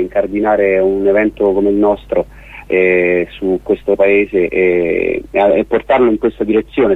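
Radio guest, male. Lowish pitch, close dry recording, emphatic but halting explainer who often rephrases. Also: no room sound, narrow, tinny audio. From Rome, Italy. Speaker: festival organiser, radio guest. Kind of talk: radio interview, explaining an event.

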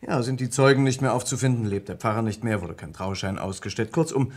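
A man's voice: fairly low pitch, brisk, close to an everyday voice. A man playing an earnest, inquisitive lawyer in an old German radio crime play, with a precise, formal delivery. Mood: matter-of-fact. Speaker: narrator and lead character. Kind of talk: German radio crime play acting from the mid-20th century.

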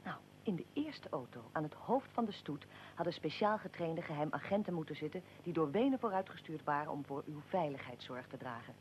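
Low-voiced, precise woman investigator. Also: lively intonation, a little fast, hardly any pauses, darkish tone, a little hiss or hum, low-quality recording. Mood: critical. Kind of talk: radio drama, interview with narrated account.

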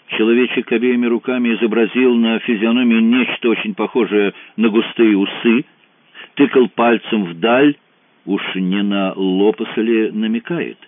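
Brief: male audiobook narrator, measured, lowish voice, tinny dry sound. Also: dry recording. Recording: dry, narrow phone-like sound.

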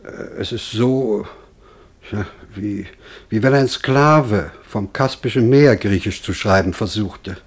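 An actor playing a pompous, pedantic male museum scholar with a husky voice in a German radio play. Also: lowish voice, takes it slowly. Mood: disdainful. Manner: pompous, hesitant, exacting.

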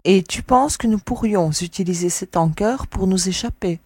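A sober, level-headed woman leading space fugitives as an actress plays her in a sci-fi audio drama. Her voice is husky and of middling pitch. The recording is dry. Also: normal speed, a little mellow, dry recording, lightly acted. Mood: sober, reasoning. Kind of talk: French amateur sci-fi audio drama acting.

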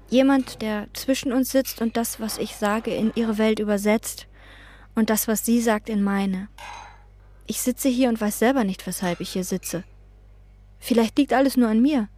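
An actress as a withdrawn young maid. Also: female voice, a little high, a little mellow, natural voice. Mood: brooding. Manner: terse, withdrawn. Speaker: hotel maid, daughter. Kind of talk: radio play acting.

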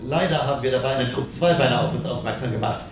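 Radio drama professor, male actor: urgent, educated, animated, strong reverberation with music underneath. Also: mid-range voice, quick pace, natural voice. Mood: alarmed, urgent. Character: agitated, formal male professor warning of danger. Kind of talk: German radio drama acting. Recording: strong room echo, music behind.

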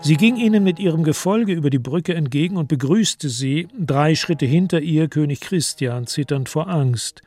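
A man narrating a German radio play as scripted literary storytelling, in a measured and deliberate manner. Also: a little high, a little mellow.

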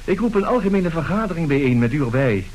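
A man's voice: fairly high pitch, sing-song, fast talker, a little mellow, slight background noise. An actor playing a glib, brazen swindler and seducer of women in a radio play, theatrical in his bargaining and pleading. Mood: cool, brazen. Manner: theatrical, swinging from cool bargaining to florid pleading. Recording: roomy radio drama recording.

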